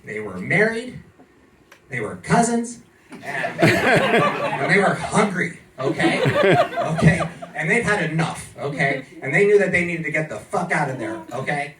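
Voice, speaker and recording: A man's voice: on the high side, very animated, a little slow. An American man from California performing a sardonic stand-up set in a bar's comedy club, punctuating his lines with "okay?". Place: comedy club in a bar, live audience. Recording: roomy distant club recording with crowd.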